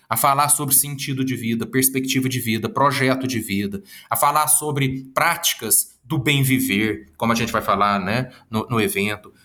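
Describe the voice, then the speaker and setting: A man's voice: medium pitch, pushed and bright. A man from Minas Gerais, Brazil, with the accent of the region, answering interview questions in an earnest, lecture-like way and reeling off long lists of words. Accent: Minas Gerais Brazilian Portuguese. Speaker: guest, psychologist and speaker.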